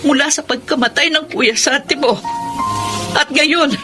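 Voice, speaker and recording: An actress playing a remorseful middle-aged Filipino mother who holds high standards, in radio drama style. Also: unhurried. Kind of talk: Tagalog radio drama acting. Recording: loud dramatic music underneath.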